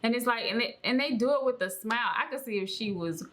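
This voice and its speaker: A woman's voice: a little high, quick pace, a bit bright, slight background noise. An American woman co-hosting a podcast, talking casually in a slangy, conversational way. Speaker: podcast co-host.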